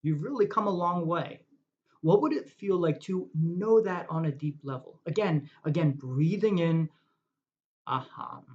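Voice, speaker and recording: A man guiding a meditation in a calm, measured way, asking reflective questions. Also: fairly high pitch. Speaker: meditation guide. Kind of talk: guided meditation. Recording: dull lo-fi recording.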